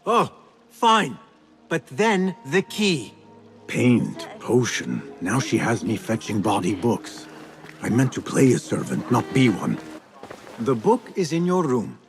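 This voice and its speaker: An actor voicing an exasperated young infiltrator posing as a servant, dry and measured, in a video game cutscene. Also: male voice, medium pitch, wildly swooping pitch, faint music behind, full character voice.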